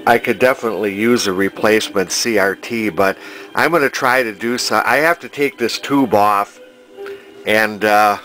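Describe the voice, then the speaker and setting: A man's voice: fairly low pitch, normal speed, pushed and bright, soft background music, rough recording. A man narrating a casual repair vlog as a vintage television restorer, thinking aloud in a hesitant, rambling way in his home workshop.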